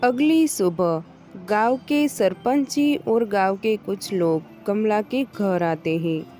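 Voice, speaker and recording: Female narrator for children's moral tales: unhurried scripted storytelling, medium pitch, soft music bed.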